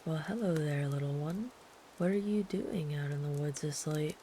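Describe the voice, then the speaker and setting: A woman voicing a big, gentle, protective traveler camping in the woods, speaking softly and soothingly, sometimes in a whisper, as ASMR comfort roleplay. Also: sits low, normal speed, background noise, natural voice. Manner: soft, soothing, calm, at times whispered.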